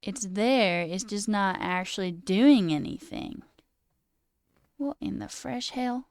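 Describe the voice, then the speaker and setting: A woman's voice: mid-range voice, slow, a little mellow, dry recording. A young woman with a slight sore throat, casually chatting on a live coding stream, reading chat aloud and muttering at her code. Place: small room indoors.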